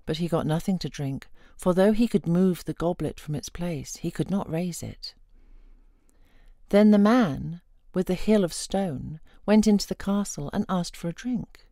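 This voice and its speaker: A woman reading a fairy tale aloud as an expressive storyteller. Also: fairly low pitch, unhurried, no room sound, studio quality.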